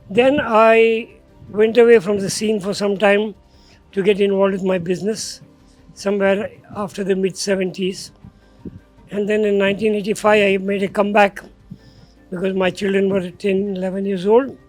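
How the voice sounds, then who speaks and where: A man's voice: sits high, little pitch movement, normal speed, faint music behind, rough recording. An older man, a riding school founder recalling his past steadily in a documentary interview.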